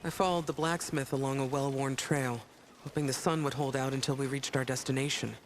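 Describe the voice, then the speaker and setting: A man's voice: mid-range voice, steady tone, brisk, close to an everyday voice. Man as a brooding inquisitor narrator, faint noise. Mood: reflective, brooding. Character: brooding male inquisitor recounting his dark fantasy journey. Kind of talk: first-person scripted story narration.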